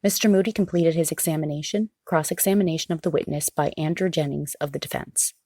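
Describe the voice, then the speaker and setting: A woman's voice: low-pitched, fast, dark tone. A woman narrating in a formal, factual scripted style, like a court record.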